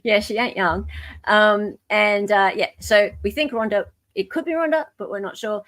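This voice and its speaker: An Australian woman hosts a livestream in a chatty, informal way. Her voice sits at a middle pitch and is recorded dry, with very little room sound. She keeps the casual group conversation moving like a moderator. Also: moderate pace, fairly bright tone, no echo. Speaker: livestream host. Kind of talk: casual live group chat.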